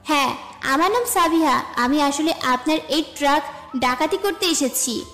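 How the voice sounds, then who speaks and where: Woman as young female lover, extremely high voice, coy, earnest, romantic drama, echoing reverb. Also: animated, moderate pace, a bit bright. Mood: bold. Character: devoted, shy yet daring young woman in love. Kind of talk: romantic audio drama acting. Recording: echoey reverb with music behind.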